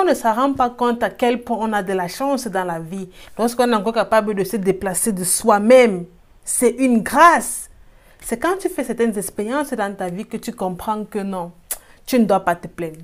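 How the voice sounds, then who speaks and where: A woman, not a native French speaker, telling her own story in a casual vlog, often groping for the right word. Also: medium pitch. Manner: searches for words often, lively exclamations. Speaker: host. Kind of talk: casual personal vlog narration.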